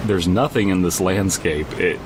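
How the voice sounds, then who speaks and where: A man with a deep voice talks casually as a podcast co-host. He rambles and halts with a wry touch, and a clear low rumbling noise runs through the recording. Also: normal speed. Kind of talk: casual bantering talk and story reading.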